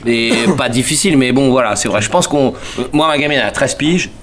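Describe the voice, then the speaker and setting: A man's voice: medium pitch, expressive, brisk, a crowd in the background. A man in his forties from the Paris suburbs, a band member talking loosely in a radio interview, slangy and rambling, with many fillers and restarts. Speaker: band member, musician guest. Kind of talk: casual radio interview chat.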